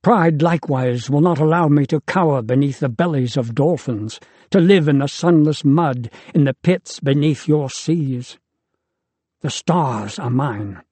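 A man's voice: fairly high pitch, a little mellow, no echo. A man voicing a proud, defiant male angel, speaking in a lofty, contemptuous, declamatory way. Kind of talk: audiobook character reading.

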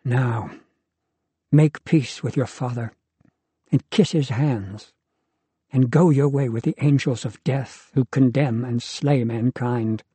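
A man's voice: mid-range voice, takes it slowly, dark tone, breathy edge, no echo. A man voicing a young woman who speaks in a faint, sad, haunted voice. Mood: bitter.

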